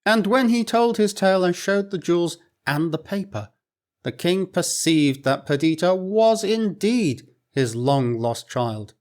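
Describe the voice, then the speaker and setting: A man reading a storybook aloud in a clear, expressive, unhurried way. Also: high voice.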